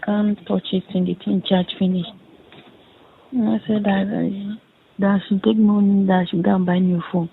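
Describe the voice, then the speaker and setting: A woman's voice: medium pitch, little pitch movement, creaky, a little hiss or hum. Young Nigerian woman on a muffled phone recording. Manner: halting, repetitive retelling with reported dialogue. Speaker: caller in a private phone conversation. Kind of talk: casual recounting of a conversation.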